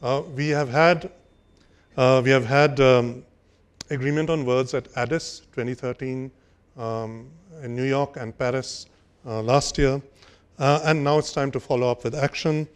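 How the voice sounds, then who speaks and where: An older man giving a formal speech at a podium on a conference hall stage, measured and hesitant, with frequent fillers and restarts. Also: mid-range voice, fairly even intonation, smooth. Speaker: conference speaker. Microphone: podium microphone.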